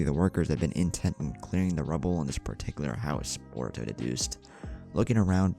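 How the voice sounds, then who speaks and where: Very deep, gravelly man narrating a story. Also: dark tone. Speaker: fan fiction narrator. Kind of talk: dramatic scripted story narration. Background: music.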